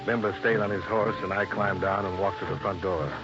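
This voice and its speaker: An actor playing a wry, hardboiled American investigator, dry and wisecracking, in old radio detective drama. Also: male voice, deep voice, steady tone, fairly quick, loud music behind the voice, lightly acted. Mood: wry narrating. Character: wry, hardboiled American insurance investigator narrating his case. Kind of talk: 1950s radio detective narration and dialogue. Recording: muffled old radio recording.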